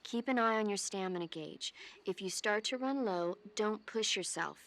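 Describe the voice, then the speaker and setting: An actress playing a caring female military medic in a video game, earnest, playful and gently scolding. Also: medium pitch, normal speed, lightly acted. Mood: concerned scolding.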